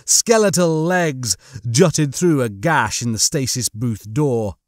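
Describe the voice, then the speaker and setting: A man's voice: medium pitch, lively intonation, slow, dry recording, studio quality. A man reading a science fiction audiobook in a theatrical, suspenseful way. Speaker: audiobook narrator. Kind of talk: dramatic scripted narration of comic science fiction.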